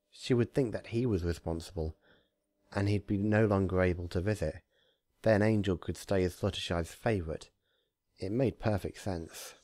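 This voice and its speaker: A man reading a story aloud as narrator, in an expressive scripted storytelling style. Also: low voice, dry recording. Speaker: narrator, fan fiction reader.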